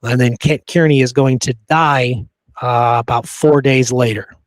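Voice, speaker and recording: A man's voice: medium pitch, fairly even intonation, measured pace, no echo. Man chatting history, close dry sound. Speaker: podcast host. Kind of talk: casual history discussion.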